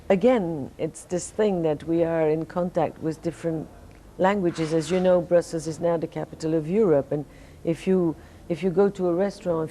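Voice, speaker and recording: Low-voiced sleepy Belgian French woman. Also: slight background noise. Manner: relaxed, halting, many restarts and fillers. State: sleepy, fighting off jet lag. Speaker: singer, interview guest. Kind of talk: casual interview answers.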